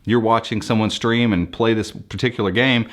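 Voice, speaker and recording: An American man with a fairly low voice talks to viewers as a tech presenter. He explains things off the cuff in a conversational style. His delivery is enthusiastic. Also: steady tone, moderate pace, fairly bright tone. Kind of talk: unscripted explainer commentary to viewers.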